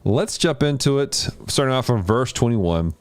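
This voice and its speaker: A man hosting a livestream of video games and Bible study, chatting casually with viewers and teaching in an animated way. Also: normal speed. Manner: animated, jumps in pitch when greeting chat. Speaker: host of a gaming and Bible study stream.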